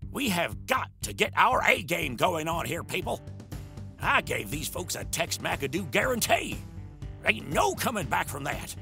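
A man's voice: high voice, big pitch swings, a little slow, gravelly, heavily acted. An actor playing an older, anxious cowboy showman who bosses people around in a folksy, theatrical way for a comic dub. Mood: stressed, demanding. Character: blustering, anxious cowboy showman business owner, older man. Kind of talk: comic dub character acting. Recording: loud music underneath.

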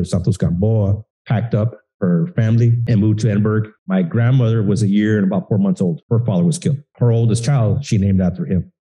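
A man's voice: on the low side, mellow, low-quality recording. A middle-aged man, a history instructor moderating a panel and speaking to an audience. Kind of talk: public talk with personal family history. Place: college event room, on stage.

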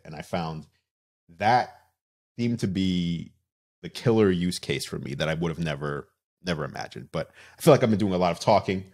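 A man's voice: low voice, a little mellow. A man hosting a casual video podcast, leading the talk and asking follow-up questions. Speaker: podcast host. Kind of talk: casual chat.

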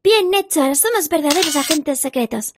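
A woman's voice: sits high, animated, normal speed. A woman voicing a cheerful little girl hippo in a children's cartoon, playful and instructive in manner. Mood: eager, playful. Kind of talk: children's interactive cartoon dub. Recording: loud music behind. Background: music.

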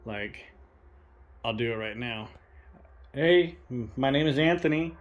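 A young man filming a ghost-hunting vlog in a dark abandoned farmhouse, talking to spirits and loudly challenging them. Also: mid-range voice, a little slow, dull audio. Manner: loud provoking challenges to the spirits. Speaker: paranormal investigator.

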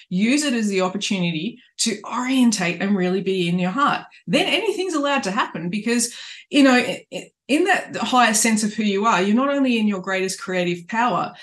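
A woman's voice: mid-range voice, animated, a little fast. A middle-aged woman, a life coach earnestly talking to camera about living from the heart, often restarting her phrases, recorded on a webcam in a small room at home. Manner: earnest, spiritual, with many restarts. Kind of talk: reflective coaching talk to camera.

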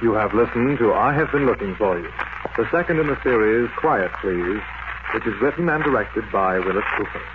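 Man, 1940s radio announcer, measured formal delivery, low voice, muffled, heavy hiss. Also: expressive. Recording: muffled old radio recording with loud hiss.

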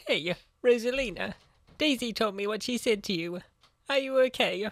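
A woman voicing a kind, supportive princess friend in a comic dub, gentle, earnest and reassuring. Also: on the high side, normal speed. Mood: gentle concern.

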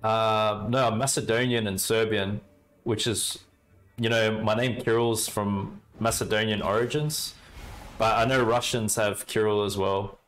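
A young Australian man casually commenting on his card game and chatting with viewers, relaxed and talkative. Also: on the low side, unhurried, low-quality recording. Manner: relaxed, chatty, talks to chat while playing. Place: indoor room at a desk.

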